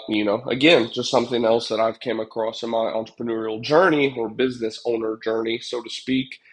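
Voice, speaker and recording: A man's voice: medium pitch, poor audio. A young man with a stuffed-up, congested voice hosts a casual livestream, rambling with lots of fillers and chatting to viewers. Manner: rambling, filler-heavy, addresses chat viewers. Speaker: livestream host, pest control business owner.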